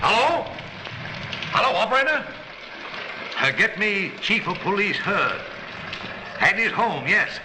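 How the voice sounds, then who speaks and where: A man's voice: high-pitched, big pitch swings, a little slow, long pauses. An actor playing a scheming, dignified banker in a 1930s crime film, earnest and theatrical in his delivery. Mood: urgent, feigned shock. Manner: earnest, theatrical pleading and explaining. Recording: noisy, echoey 1930s film soundtrack.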